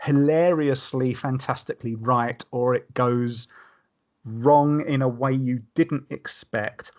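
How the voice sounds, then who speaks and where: A man's voice: mid-range voice, steady tone, slow pace, darkish tone. A man in a casual podcast discussion, telling wordy anecdotes with emphasis.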